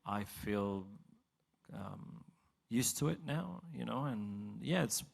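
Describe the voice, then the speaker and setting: Man, gravelly, lowish voice, halting interview answers, non-native English speaker. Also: lively intonation. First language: not English. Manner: halting, laughs between thoughts. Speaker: singer in a vocal group.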